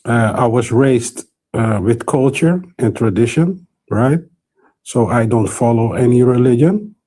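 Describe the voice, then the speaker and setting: A man's voice: mid-range voice, animated, a little slow, mellow. A man in his forties with a Guyanese accent, a native Creole speaker, debating casually and searching for words. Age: 40s. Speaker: guest debater.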